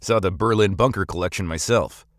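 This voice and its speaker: A man's voice: sits low, fairly quick, dry recording, studio quality, close to an everyday voice. An actor with an American accent playing a world-weary thief with a conscience in an audio play, talking in a folksy, tough-guy way. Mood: confrontational. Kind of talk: audio drama acting.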